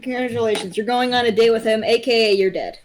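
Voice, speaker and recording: Young woman, higher voice, blunt and profane, casual livestream banter. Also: fast talker, slight background noise, low-quality recording. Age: young adult. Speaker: guest on a development livestream. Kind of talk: casual chat.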